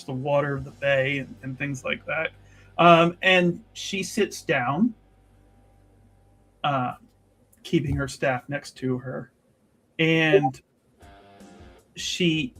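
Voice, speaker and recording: A man improvising third-person narration as a tabletop roleplaying player, casual and halting, often correcting himself. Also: on the high side, a bit bright.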